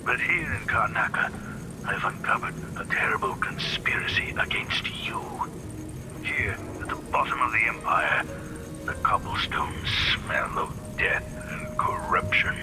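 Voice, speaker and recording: A man's voice: lowish voice, very animated, a little slow, over music. An older man playing a grave, loyal old inventor speaking formally in a video game. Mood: ominous, regretful. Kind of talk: dramatic game acting, letter-like monologue.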